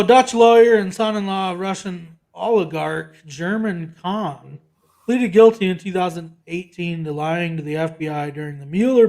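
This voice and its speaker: A man's voice: high-pitched, a little mellow. A man co-hosting a casual political podcast who rambles through his opinions, laughs in the middle of sentences and trails off. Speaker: podcast co-host.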